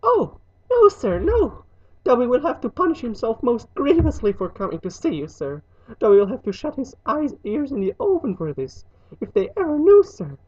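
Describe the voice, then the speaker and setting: A woman voicing an earnest, servile male house elf in a storybook reading, excitable and prone to tears, with a shrill voice. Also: moderate pace, slightly rough, highs cut off, heavily acted. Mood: anxious, submissive. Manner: speaks of self in third person, deferential.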